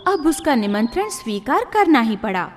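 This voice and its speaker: High-pitched woman narrating children's stories. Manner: deliberate, expressive storytelling. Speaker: storyteller. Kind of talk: scripted children's story narration. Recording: long reverb, music behind.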